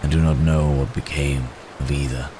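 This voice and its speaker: A man voicing a gentle, remorseful wounded werewolf in an intimate ASMR roleplay, speaking haltingly and formally, as if in pain. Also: rumbling low, flat delivery, background noise. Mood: haunted, remorseful. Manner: halting, hesitant, soft-mannered storytelling with formal wording. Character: wounded male werewolf, gentle, remorseful, recounting his escape.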